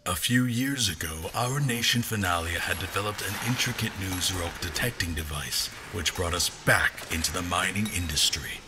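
Deep-voiced wry military narrator played by a man. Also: noisy background, lightly acted. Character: wry, self-doubting military man narrating his memories. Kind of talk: first-person audio drama narration. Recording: music under the voice. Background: music.

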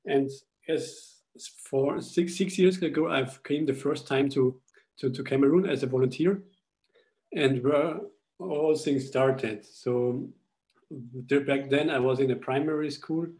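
A young man from Austria walks listeners through a slide presentation on a video call, his voice mellow and warm. He talks haltingly, often restarting phrases as he searches for the words. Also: mid-range voice, low-quality recording. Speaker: charity founder presenting a school project. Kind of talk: webinar presentation. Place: indoors at home. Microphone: laptop webcam video call.

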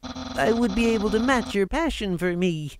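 A woman voicing a pompous, earnest male skeleton from a video game, theatrical and halting. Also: mid-range voice, expressive, background noise, close to an everyday voice. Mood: apologetic, melodramatic. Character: pompous, dramatic, earnest male skeleton, lovable self-declared great friend. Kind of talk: video game character voice-over.

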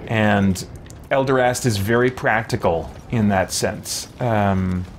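A man's voice: on the low side, slow pace, a little hiss or hum. A man in his forties giving casual gameplay commentary, musing and often interrupting himself. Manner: rambling, musing, self-interrupting. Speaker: game streamer. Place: small indoor room with shelves.

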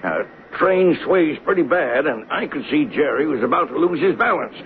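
An actor playing a plain-spoken working train conductor in a 1970s radio drama, in a conversational storytelling way. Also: male voice, fairly high pitch, animated, normal speed, narrow, tinny audio, lightly acted. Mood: tense recounting. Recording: noisy, band-limited vintage radio broadcast.

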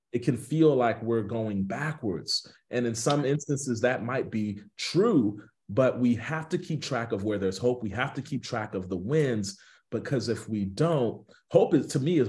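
A man's voice: mid-range voice, a little mellow. An American man in his thirties, a pastor speaking off the cuff on a video call with an earnest preacher's cadence, repeating phrases for emphasis. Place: small indoor room on a video call.

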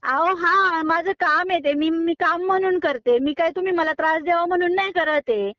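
A high-voiced woman argues on a phone call. She is firm and exasperated in an unscripted exchange. The audio is close and dry, slightly dull and lo-fi. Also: moderate pace, a bit bright, no echo. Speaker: online recipe video creator receiving a prank call.

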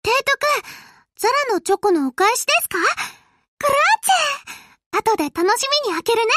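A woman's voice: extremely high pitch, animated, normal speed, very noisy. A woman voicing a sweet, eager young warship girl in an anime-style game. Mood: cheerful. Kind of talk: Japanese anime game voice acting.

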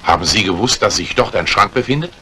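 An actor playing a brusque, authoritative police inspector in a 1950s German radio crime drama, questioning and giving orders deliberately. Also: male voice, on the low side, expressive, bright, forceful tone. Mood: probing, curt. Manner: probing, commanding, deliberate. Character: brusque, authoritative middle-aged male police detective inspector. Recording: noisy vintage 1950s radio drama recording.

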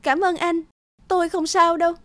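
An actress playing an anxious but determined young princess in a cartoon fairy tale. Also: female voice, very high voice, level tone, normal speed, fairly bright tone. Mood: worried.

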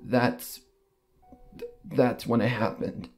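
Male narrator, dramatic first-person storytelling with halting pauses, music underneath. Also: mid-range voice, flat delivery. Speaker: fan fiction reader. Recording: music behind the voice. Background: background music.

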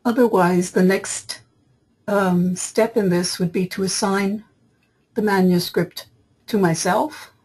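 A woman with a markedly husky, rough-edged voice talks through a software tutorial. She explains each step as a trainer would, in loosely scripted narration at a medium pitch. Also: fairly even intonation, takes it slowly. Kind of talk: screen-capture tutorial narration, semi-improvised. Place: voice-over for screen recording.

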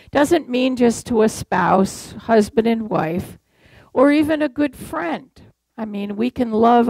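Slow, gravelly older woman preaching. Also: fairly high pitch, a little mellow, no echo. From Massachusetts. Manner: conversational storytelling with rhetorical questions. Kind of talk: sermon. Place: church sanctuary at a pulpit.

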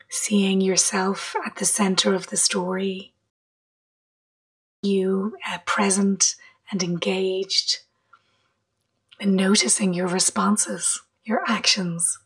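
A woman leading a guided meditation in a soft, breathy voice, gently, with long pauses between short phrases. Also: mid-range voice, poor audio. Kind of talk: guided meditation, slow and measured.

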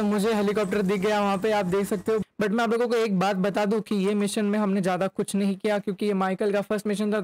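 A man's voice: high voice, a little mellow. A young man giving casual gameplay commentary as a YouTuber, speaking close to a microphone. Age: young adult. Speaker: gaming YouTuber. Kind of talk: casual gameplay commentary mixing Hindi and English. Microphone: close microphone in front of face.

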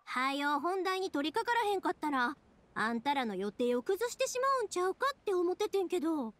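A woman voicing a bubbly, warm-hearted, chatty young woman with a Kansai accent in an anime game. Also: high voice, brisk, slight background noise. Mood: considerate. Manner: excitable, chatty, friendly. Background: game music.

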